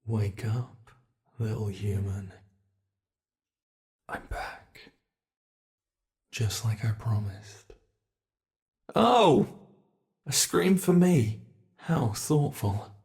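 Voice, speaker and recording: A British man voicing a sadistic male monster from under the bed, speaking slowly and menacingly in an ASMR horror roleplay, often dropping to whispers. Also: fairly low pitch, animated, a little mellow, full character voice. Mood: taunting. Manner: slow, taunting, menacing, drifting into whispers.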